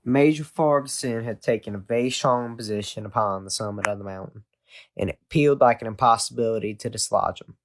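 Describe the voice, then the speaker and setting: A man's voice: on the low side, a little fast. A man reading a scripted first-hand historical account aloud.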